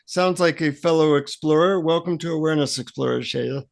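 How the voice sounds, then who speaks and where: A man's voice: a little high, brisk, creaky. A man co-hosting a podcast in a casual, conversational style. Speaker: podcast co-host.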